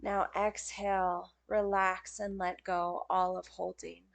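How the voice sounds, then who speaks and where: A woman leading a guided yoga nidra meditation as a teacher, speaking in gentle, soothing, unhurried phrases with pauses. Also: lowish voice, little pitch movement, creaky.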